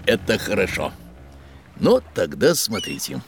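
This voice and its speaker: Whimsical, self-satisfied sea captain, gravelly and theatrical, exclaiming with big swoops; children's radio play. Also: male voice, low-pitched, huge pitch swings, a little slow, a little hiss or hum, exaggerated voice. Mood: showing off. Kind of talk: children's educational audio drama.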